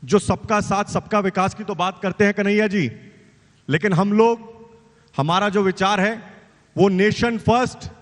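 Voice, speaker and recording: A man's voice: sits high, unhurried, a bit bright. A man speaking for his party on a debate panel, rhetorical and emphatic as he turns to his opponent. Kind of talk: political debate speech. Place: large conference hall on stage. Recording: long hall reverb.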